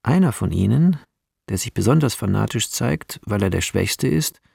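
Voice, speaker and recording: A man narrating a radio play as a series of film scene directions, measured and clipped, with a creaky voice. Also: on the low side, expressive, a little mellow, no room sound, clean studio sound, exaggerated voice.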